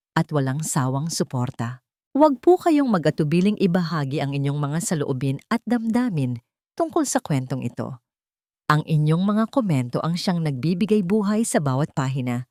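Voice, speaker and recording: A woman narrating a scripted romance story in an earnest, sentimental, measured way. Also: deep voice, sing-song, dark tone, no echo, clean studio sound.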